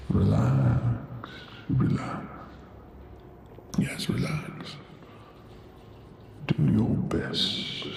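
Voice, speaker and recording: A man acts out Death as a gentle, bashful hospital healer in an ASMR roleplay. The voice is a crackly, breathy half-whisper, very slow, hesitant and soothing, with formal politeness. Clear background noise sits behind it. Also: mid-range voice, full character voice.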